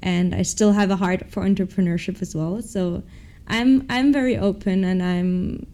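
Mellow-voiced young woman, hesitant and upbeat. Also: mid-range voice, fairly even intonation, moderate pace. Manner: hesitant, restarts often, open and upbeat. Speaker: MBA student, former professional athlete. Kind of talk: interview answers.

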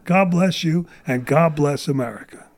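High-pitched blunt ranting man. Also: moderate pace. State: losing his voice. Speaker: guest. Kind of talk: casual podcast chat.

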